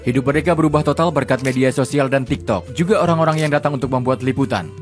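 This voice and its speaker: A man narrating a travel documentary vlog in a scripted voice-over, reading facts in an even, steady way. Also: medium pitch, quick pace, soft background music.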